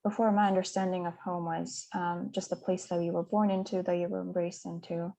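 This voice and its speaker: A woman's voice: lowish voice, flat delivery, brisk, creaky, mellow, low-quality recording. A woman from Mongolia, speaking as a conference panelist in a hesitant, reflective way with many fillers and restarts. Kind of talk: reflective personal talk.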